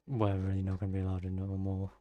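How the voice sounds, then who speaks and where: A man's voice: sits low, monotone, quick pace, no echo, highs cut off. A man giving casual game playthrough commentary, thinking aloud and correcting himself as he goes. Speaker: game streamer.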